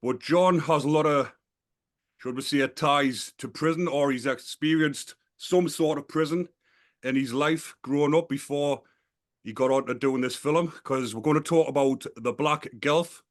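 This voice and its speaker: A man's voice: fairly high pitch, a little slow. A man hosting a podcast, opening the show and asking questions in a friendly, upbeat way. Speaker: podcast host. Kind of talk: interview hosting.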